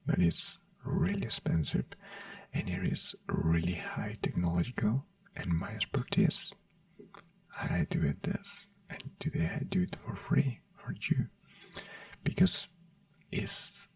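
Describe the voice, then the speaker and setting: Non-native english asmr doctor roleplay, slow breathy whispering, a bit distant from the mic, gentle and reassuring. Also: mid-range voice, very animated. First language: not English. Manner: gentle, reassuring personal attention. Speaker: ASMR performer playing a brain doctor.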